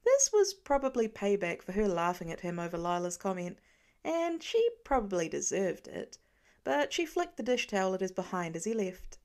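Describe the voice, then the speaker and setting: A woman's voice: mid-range voice, big pitch swings, moderate pace, a little mellow. A woman reading a story aloud as scripted audiobook narration. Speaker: fan fiction audiobook reader.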